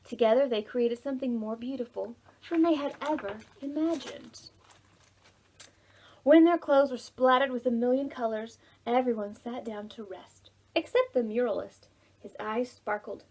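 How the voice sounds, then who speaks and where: A woman hosting a preschool storytime, telling stories to young children in an expressive way, with drawn-out words and soft, hushed phrases. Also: on the high side, rough recording. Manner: addresses children directly with simple questions.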